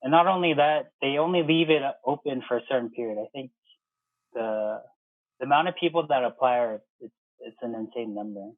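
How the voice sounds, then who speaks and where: A dry, somewhat lo-fi recording of an American man in his thirties with a mid-pitched voice. He explains things conversationally as an interview guest, halting and rephrasing as he goes. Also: no echo. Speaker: interview guest, air traffic controller.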